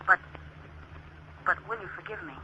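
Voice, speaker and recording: An actress playing an earnest, anxious, affectionate sweetheart in a 1940s radio crime drama, as if on the telephone. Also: female voice, fairly low pitch, moderate pace, sharp tone, husky, full character voice. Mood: apologetic. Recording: thin, muffled old radio telephone voice.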